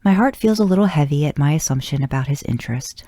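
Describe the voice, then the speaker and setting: A woman's voice: sits low, lively intonation, quick pace, dark tone, no room sound, studio quality. A woman reading a first-person story aloud as narration. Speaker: podcast host reading fan fiction aloud.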